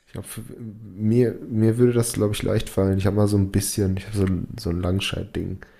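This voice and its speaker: A man's voice: fairly low pitch, little pitch movement, normal speed, vocal fry, warm tone. A man whose first language is Portuguese, chatting casually and hesitantly with restarts. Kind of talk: casual chat.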